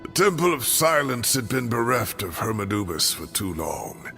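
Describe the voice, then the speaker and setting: An actor playing a weary, secretive old scholar in a video game, speaking in a grave, measured and dramatic way. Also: male voice, mid-range voice, very animated, vocal fry, sharp tone, soft background music, full character voice. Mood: solemn, confessional. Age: older. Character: weary secretive old male scholar, guilt-laden mentor.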